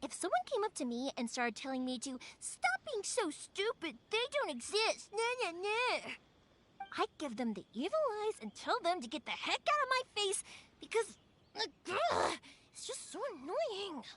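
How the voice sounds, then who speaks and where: A woman playing a cheerful, warmhearted young fireworks maker in a video game, chatty, bubbly and expressive. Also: very high voice, unhurried, heavily acted. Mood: indignant, spirited. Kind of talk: animated video game voice acting. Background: background music.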